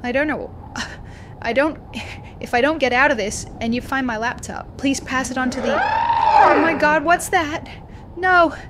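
Woman playing a higher-pitched young student diarist. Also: big pitch swings, unhurried, background noise. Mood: panicked, breathless. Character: frightened young Finnish student keeping a video diary. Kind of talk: first-person horror audio diary.

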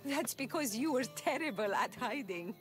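A woman's voice: fairly high pitch, lively intonation, music in the background, close to an everyday voice. An actress playing a feisty, playful young Greek woman in a video game, bold and teasing in manner. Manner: bold, quick-tempered, teasing. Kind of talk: video game cutscene dialogue.

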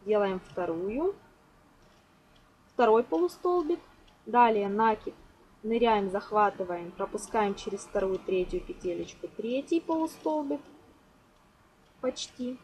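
A woman's voice: medium pitch, lively intonation, measured pace, pauses often, a little mellow. A woman giving a step-by-step craft tutorial in a reassuring, instructional way, often counting aloud. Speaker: crochet tutorial host. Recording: noisy home recording.

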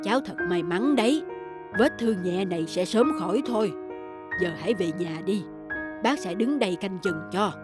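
A woman voicing a kindly, wise monkey uncle who gives gentle advice in an animated fairy tale. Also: mid-range voice, normal speed, over music, natural voice. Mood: gently advising. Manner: gentle, advising.

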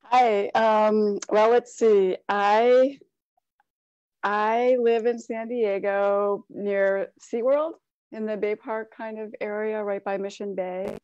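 A woman from Cleveland, Ohio, chats warmly about herself on a video panel with a few ums. Her call audio sounds dry and a little dull. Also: medium pitch, level tone, takes it slowly, smooth, no echo. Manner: friendly, chatty, some fillers. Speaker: artist on a panel. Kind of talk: casual panel talk.

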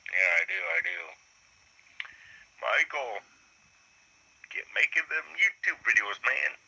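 A man chatting casually over a walkie-talkie app, halting and full of fillers, heard through a handheld radio speaker. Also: mid-range voice, sing-song, gravelly, pushed and bright, slightly rough, poor audio. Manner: slow, halting, filler-heavy. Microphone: played from a handheld radio phone.

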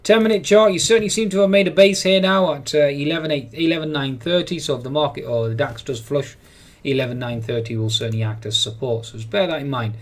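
A man's voice: a little high, fast talker. A man giving daily market commentary over trading charts, hesitant with many fillers and frequent repeats. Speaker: market analyst. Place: voice-over on screen recording.

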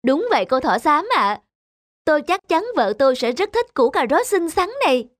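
A man's voice: very high-pitched, sing-song, normal speed, fairly bright tone, no echo, clean studio sound, full character voice. Devoted, earnest rabbit husband played by a man. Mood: tender, earnest. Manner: earnest, tender storytelling. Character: gentle, devoted, simple-hearted male rabbit husband. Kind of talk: animated fairy tale acting. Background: music.

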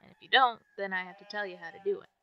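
A woman's voice: mid-range voice, rapid-fire, dull audio, close to an everyday voice. A woman playing an easygoing young ranch hand in an ASMR roleplay, chatting in a folksy way to a newcomer. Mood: easygoing, teasing. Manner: folksy, drawling phrasing with ain't and gotta. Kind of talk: ASMR roleplay, one-sided chat. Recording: background noise with farm animal ambience.

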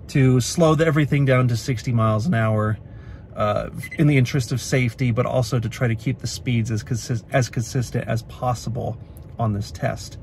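A man's voice: mid-range voice, slight background noise. A man in his thirties from the Pacific Northwest, vlogging to a phone camera from inside his car at night, talking in a chatty, matter-of-fact way with lots of uhs. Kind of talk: casual vlog talk to camera. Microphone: phone camera at arm's length.

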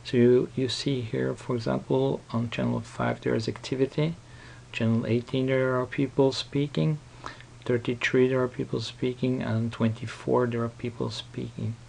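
Flat-toned man with a creaky voice. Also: medium pitch, rough recording. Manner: explanatory, halting, repeats himself. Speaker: software tutorial presenter. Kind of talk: unscripted screen-recorded software tutorial. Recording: noticeable background noise.

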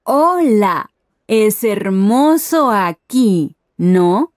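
A woman playing a friendly stranger in a scripted language lesson, pronouncing each phrase deliberately. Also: medium pitch, sing-song, no echo, studio quality, soft background music. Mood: friendly, curious. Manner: deliberate, carefully enunciated. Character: friendly Peruvian woman chatting with a tourist. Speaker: voice actor in language lesson dialogues. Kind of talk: scripted learner dialogue, each phrase said slowly then at normal speed.

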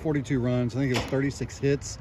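A man asks quick, conversational questions in an average-pitched voice, heard from some distance off the mic. Clear outdoor noise sits behind him. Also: flat delivery. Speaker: reporter. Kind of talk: interview questions.

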